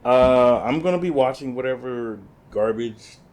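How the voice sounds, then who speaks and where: A man co-hosting a podcast, chatting casually with friends and teasing them deadpan. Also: medium pitch, measured pace. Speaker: podcast co-host. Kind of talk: casual chat.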